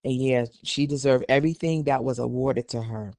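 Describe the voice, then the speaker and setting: A man's voice: medium pitch, moderate pace, gravelly, a little mellow, no echo, studio quality. A man hosting a podcast, reading documents aloud and giving opinionated, conversational commentary. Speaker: podcast host.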